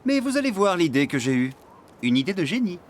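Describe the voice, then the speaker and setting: An actor playing a hapless, boastful, excitable farmhand in a French children's cartoon, eager and exaggerated. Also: male voice, sits high, brisk, a little hiss or hum. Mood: eager, confident. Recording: music under the voice.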